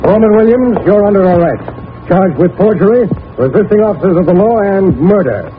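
A man's voice: high-pitched, expressive, brisk, noisy background. An actor playing a stern lawman, formal and commanding, in an old radio crime drama. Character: stern male lawman making an arrest. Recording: tinny 1949 radio recording, music.

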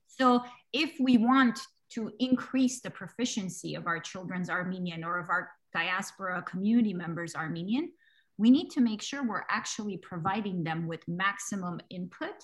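A woman in her forties, a language educator being interviewed, talking lively, laughing often and acting out the people she quotes. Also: medium pitch, moderate pace, rough recording. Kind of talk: conversational interview.

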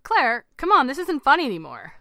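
A woman's voice: medium pitch, animated, normal speed, sharp tone, smooth, no room sound, clean studio sound. A woman voicing an eager, chummy family dad who coaxes emphatically in a story reading. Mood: unsettled, insistent. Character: eager family dad growing confused and unsettled.

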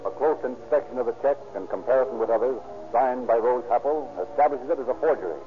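A man narrating a 1930s radio crime drama in a formal, declamatory manner with deliberate phrasing. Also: medium pitch, close to an everyday voice. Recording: narrow old radio recording, loud music behind.

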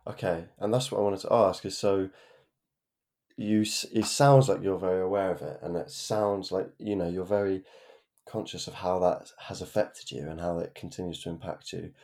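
A man's voice: sits low, steady tone, normal speed, vocal fry, darkish tone. A man hosting a podcast, asking questions in a casual, empathetic way and swearing now and then. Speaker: podcast host. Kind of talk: casual interview chat.

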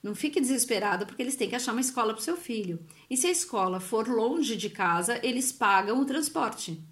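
A woman from Brazil with a fairly high voice recounts her family's move abroad in easy, conversational storytelling. She laughs often and gives the people she quotes little voices of their own. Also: lively intonation, fairly quick, a bit bright. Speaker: guest. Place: Italy.

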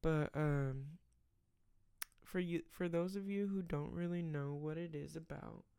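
Raspy, tired podcaster with gravelly fry. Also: medium pitch, fairly even intonation, a little slow, dark tone. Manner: rambling, many fillers and restarts. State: tired, late at night. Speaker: podcast host. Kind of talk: casual faith podcast with prayer.

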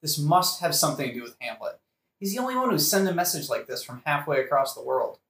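A man as grumpy, high-voiced young guy. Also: lively intonation, fast talker, a bit bright. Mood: irritated. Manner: irritable, offhand, wry. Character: grumpy sarcastic young man, prince's best friend Horatio. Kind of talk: modern-language satirical audio play acting. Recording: roomy echo.

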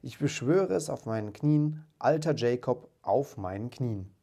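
A man's voice: medium pitch, lively intonation, unhurried, creaky, warm tone. A man voicing a reformed old miser from a storybook, exclaiming with great emotion and emphasis. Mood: fervent relief. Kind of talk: dramatised storybook reading.

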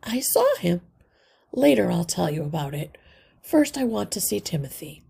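A woman voicing a caring mother mouse in a children's storybook, soothing, concerned and gently firm. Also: fairly low pitch, very animated, normal speed, vocal fry, slightly rough, exaggerated voice. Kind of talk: children's storybook read-aloud.

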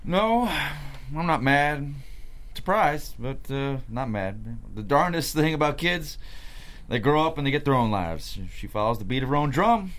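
Man acting guy talking kinda halting and natural, pitch moving all over, table read. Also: lively intonation, a bit bright. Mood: resigned. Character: actor reading several male roles: hesitant teen, easygoing father, producer boss. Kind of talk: script table read, acted dialogue.

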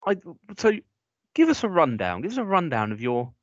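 A young man with a Northern English accent co-hosting a podcast, teasing his friend with quick comebacks. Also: fairly high pitch, wildly swooping pitch, dry recording. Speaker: podcast co-host. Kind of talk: casual banter. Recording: dull lo-fi dry sound.

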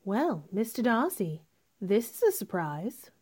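A woman playing a proud, sharp-tongued young gentlewoman in a radio drama, with formal, cool, dramatic delivery. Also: mid-range voice, big pitch swings, slow pace, noisy background. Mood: coolly polite.

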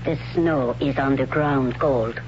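A man's voice: medium pitch, takes it slowly, close to an everyday voice. A man as a solemn whispering priestess. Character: stern solemn priestess of warrior women. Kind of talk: 1970s radio drama acting. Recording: muffled old radio broadcast with hiss.

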